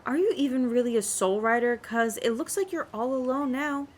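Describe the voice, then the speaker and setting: High-voiced woman chatting through gameplay. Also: normal speed, faint music behind. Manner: expressive, chatty, reacting aloud to the game. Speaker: video game streamer. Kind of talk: casual gameplay commentary.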